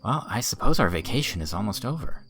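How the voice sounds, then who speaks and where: A man's voice: on the low side, very animated, fast talker, fairly bright tone, faint music behind. A man playing an earnest, teasing young village schoolteacher in audiobook dialogue, articulate and enthusiastic. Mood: brisk, planning.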